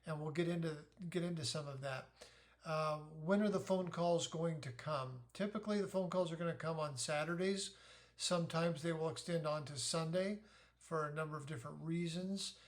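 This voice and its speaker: A middle-aged man giving an informal explainer as a parent sharing advice, deliberate and methodical in how he lays things out. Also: fairly high pitch. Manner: deliberate, methodical, poses questions then answers them.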